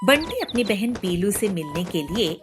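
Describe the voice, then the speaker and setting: A woman narrating a children's cartoon story in an expressive, lilting way. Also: mid-range voice, moderate pace, over loud music.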